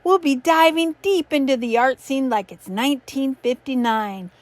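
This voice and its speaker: A laid-back beatnik artist with a smooth, rhythmic, slangy flow, as a woman plays him. Dry, close recording. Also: high voice, moderate pace, no room sound, lightly acted. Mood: cool.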